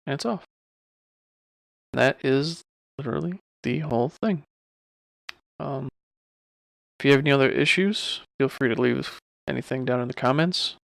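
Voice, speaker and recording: Male tutorial host, offhand and informal, heavy vocal fry, close dry sound. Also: medium pitch, moderate pace, long pauses, no room sound. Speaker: streamer giving a software tutorial. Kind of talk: casual screen-recorded tutorial.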